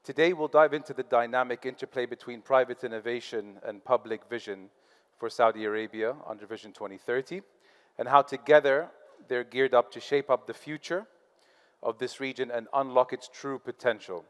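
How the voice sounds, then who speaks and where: Moderator, man, smooth scripted delivery, hall reverb tail. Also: mid-range voice, a little fast. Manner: polished, reads prepared questions. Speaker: panel moderator, podcast host. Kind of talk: scripted moderator introduction and questions. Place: conference hall on stage.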